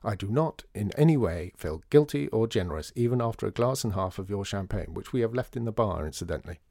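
A man voicing a sharp-tongued female newspaper columnist who talks in quick, cutting, fussy phrases. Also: fairly low pitch, expressive, creaky, no echo, studio quality. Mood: scornful.

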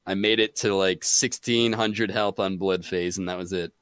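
A man chatting casually about games as a podcast guest, excitable and saying "dude" often. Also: sits low, moderate pace, no echo. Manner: excitable, says "dude" a lot. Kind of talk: casual gaming chat.

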